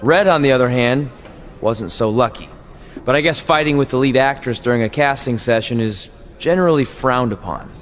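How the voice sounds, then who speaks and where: A man voices a wrestler telling his story in a video game. His pitch is ordinary for a man. The narration is scripted, wry and understated. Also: normal speed, faint music behind, lightly acted.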